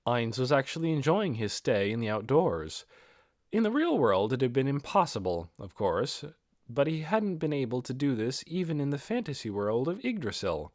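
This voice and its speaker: A man's voice: mid-range voice, sing-song, normal speed, darkish tone, no room sound, clean studio sound. A man reading a fantasy novel aloud as scripted audiobook narration. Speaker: audiobook reader.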